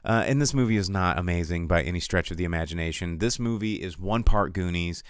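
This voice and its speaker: A man's voice: deep voice, brisk, smooth, dry recording, clean studio sound. A man in his forties hosting a casual solo movie livestream, rambling and joking with a sarcastic streak. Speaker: movie review show host.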